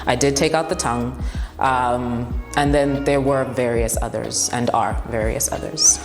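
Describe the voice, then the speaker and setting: A young woman with a very deep, commanding voice speaks frankly, laughing between answers. The room is echoey, with a long reverb tail and faint music behind her. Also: steady tone, unhurried. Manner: blunt, laughs often. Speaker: broadcast journalist and TV presenter. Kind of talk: interview answers, relaxed and frank.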